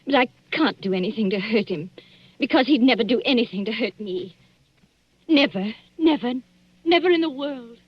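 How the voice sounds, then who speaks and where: An earnest wife in a vintage radio melodrama. Her voice is fairly high yet husky, with measured, restrained intensity, on a dull old recording. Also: animated, no room sound. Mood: tender, earnest. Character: earnest, restrained wife torn between lover and husband. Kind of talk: 1940s radio drama acting.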